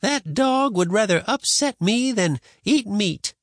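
A man acts out a cantankerous old grandmother on the frontier in a storybook. The voice is pitched well up and very animated, grumbling and scolding in plain folksy country speech, recorded dry and close. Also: takes it slowly, no room sound, full character voice. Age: older. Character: cranky, stubborn old frontier grandmother with a cane.

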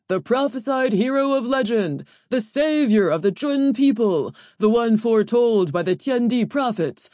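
A woman acts out a pompous male training overseer from a fantasy audiobook. He projects loudly and declaims with grandiose ceremony, pitched up as if addressing a whole arena. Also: no room sound, studio quality, natural voice. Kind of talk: audiobook character voice.

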